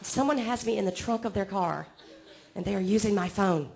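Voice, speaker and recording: A woman's voice: mid-range voice, normal speed, creaky, darkish tone. A woman in her forties from Georgia with a Southern accent, doing a self-mocking stand-up set on a comedy club stage and chatting with the crowd. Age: 40s.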